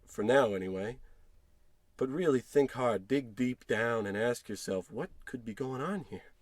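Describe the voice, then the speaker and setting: A man's voice: medium pitch, a little slow, no echo, lightly acted. A man playing an irritable, money-worried working-class husband in a blustering, sarcastic old-time radio comedy style. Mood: brooding. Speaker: actor in scripted comedy podcast.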